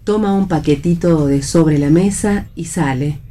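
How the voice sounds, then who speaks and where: A woman with an Argentine accent reading scripted stage directions for a radio play in a measured, descriptive manner. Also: sits low, warm tone.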